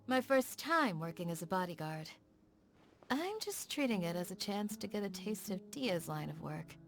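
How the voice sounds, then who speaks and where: A woman voicing a kindly, protective bodyguard in a video game, calm, considerate and reassuring. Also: medium pitch, normal speed, gravelly, music in the background. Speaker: game character voice actor. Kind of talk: voiced game dialogue.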